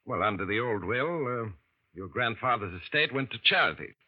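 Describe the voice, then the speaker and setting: A man playing a calm, probing lawyer who speaks in a courteous, measured, faintly suspicious way. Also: medium pitch, slightly rough. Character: polished older male lawyer, calm and probing. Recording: muffled 1950s radio drama, slightly off-mic.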